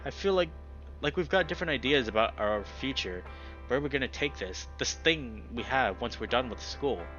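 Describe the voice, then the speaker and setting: A man plays an easygoing young animal guy from a visual novel, kind but evasive. His talk is casual and offhand in a bright voice. Music sits behind the slightly muffled sound. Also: medium pitch, expressive, normal speed, close to an everyday voice. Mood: guarded. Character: young male anthro animal, laid-back, kind, evasive friend. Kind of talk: visual novel character reading.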